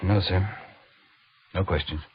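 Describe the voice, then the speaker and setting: An actor playing a dutiful cavalry captain with wounded pride in an old radio drama, speaking in formal military fashion. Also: male voice, low voice, fairly even intonation, slow. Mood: subdued, questioning. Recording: dull old radio recording.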